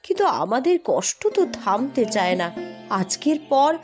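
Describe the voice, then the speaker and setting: A woman's voice: fairly high pitch, sing-song, normal speed, over music. A woman playing an anxious farmer's wife. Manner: anxious, urging. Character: worried farmer's wife, middle-aged. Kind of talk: Bengali cartoon story acting.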